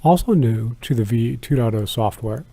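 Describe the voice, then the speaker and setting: Creaky-voiced man giving a product walkthrough. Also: medium pitch, normal speed, warm tone, dry recording. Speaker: product demonstrator. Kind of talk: scripted product tutorial narration.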